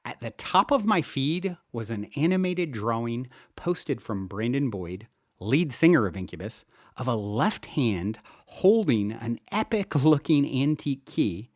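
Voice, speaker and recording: A man reads his own self-help book aloud as a narrator, with an earnest, expressive delivery. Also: mid-range voice, unhurried, dry recording, clean studio sound. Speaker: author and show host reading own book. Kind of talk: audiobook narration, first-person self-help.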